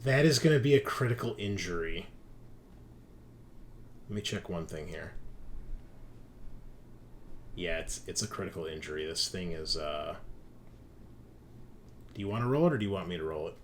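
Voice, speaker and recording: A man running a tabletop roleplaying game as game master, narrating action casually with animated, drawn-out dramatic emphasis. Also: low-pitched, a little hiss or hum. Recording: music behind the voice.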